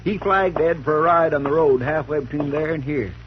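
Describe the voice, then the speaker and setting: An actor playing a hesitant, folksy deputy who stammers, on an old radio broadcast. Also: male voice, on the high side, fairly quick, lo-fi sound, background noise, lightly acted. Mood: worried.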